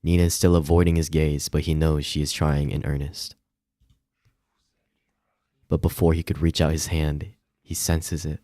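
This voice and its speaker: A man reading a visual novel aloud as dramatic, measured narration. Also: very deep voice, level tone, mellow, dry recording, clean studio sound.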